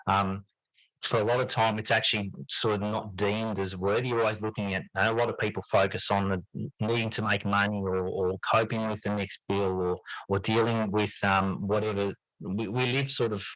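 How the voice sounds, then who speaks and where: A man with a low voice talks reflectively as a podcast guest. He is earnest, repeating and reworking phrases as he goes. The sound is dry, with very little room around it. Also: a bit bright, no room sound, rough recording. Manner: earnest, repeats and rephrases himself as he thinks. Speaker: podcast guest, teacher and writer. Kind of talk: reflective interview talk.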